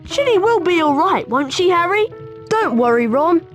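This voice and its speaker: A woman voicing an earnest, excitable young schoolboy wizard in a video game, urgent in manner, with a British accent. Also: extremely high pitch, moderate pace, faint music behind. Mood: earnest, determined. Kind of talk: video game character acting.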